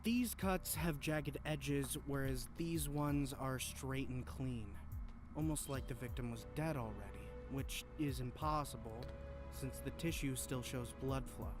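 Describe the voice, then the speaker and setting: Visual novel lead: sardonic, prickly forensic examiner male actor, mid-pitched, dry and precise, music clearly behind. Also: measured pace, natural voice. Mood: clinical, irritated.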